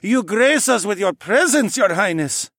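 A man's voice: high voice, animated, measured pace, a bit bright, dry recording, clean studio sound, pitched above the performer's own voice, full character voice. A man voicing a formal, courteous nobleman of a fantasy council in an audiobook, matter-of-fact in manner. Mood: awed.

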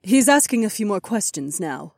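A man voicing a confident, encouraging woman in a fantasy audiobook, assured and gently insistent. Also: sits high, big pitch swings, normal speed, creaky, dry recording, studio quality, voice pushed up, exaggerated voice. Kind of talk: audiobook character dialogue.

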